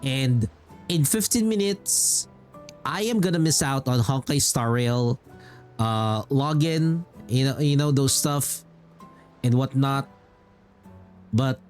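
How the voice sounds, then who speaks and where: A man's voice: medium pitch, expressive, takes it slowly, pauses often. A man, casually rambling as a game streamer and repeating phrases for emphasis. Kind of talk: casual livestream chat about games. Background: music.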